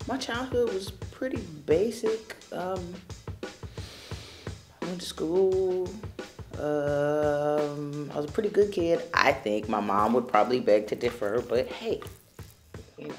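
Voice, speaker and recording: A woman's voice: low-pitched, takes it slowly, a little mellow, faint music behind. A woman from Los Angeles, a spoken word poet, talking casually and wryly about her upbringing. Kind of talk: documentary interview and poetry.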